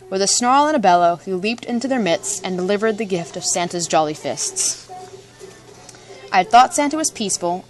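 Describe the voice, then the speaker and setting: A woman reading a storybook aloud with dramatic, playful narration. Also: medium pitch. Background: music.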